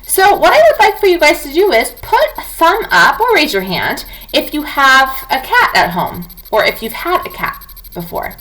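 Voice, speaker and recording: A woman's voice: high-pitched, big pitch swings, moderate pace, pushed and bright, low-quality recording. A woman teacher reading a non-fiction book aloud to young children, talking to them directly with questions and prompts.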